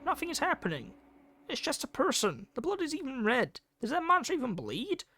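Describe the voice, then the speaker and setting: A man's voice: extremely high pitch, huge pitch swings, a little fast, sharp tone, a little hiss or hum, voice pushed up, full character voice. A man voicing a frightened, distrustful schoolboy in a visual novel game, hesitant and stammering. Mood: panicked denial.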